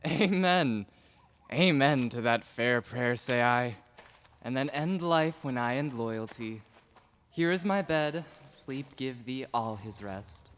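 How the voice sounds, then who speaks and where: A man playing an ardent, impetuous young gentleman in a Shakespearean verse audio drama, with a declamatory manner. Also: mid-range voice, lively intonation, takes it slowly. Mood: devoted, tender.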